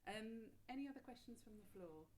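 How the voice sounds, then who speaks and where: A woman hosting a panel discussion, taking questions from the floor and from online with a brisk, lightly joking manner. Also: fairly high pitch, lively intonation, a little mellow, slight background noise. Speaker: panel host. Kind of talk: moderating a panel Q&A.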